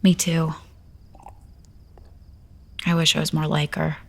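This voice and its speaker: A woman's voice: low-pitched, little pitch movement, slow, creaky, long reverb tail, full character voice. A woman playing an anxious, self-doubting young princess in an audio drama, speaking hesitantly and earnestly. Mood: subdued. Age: young adult.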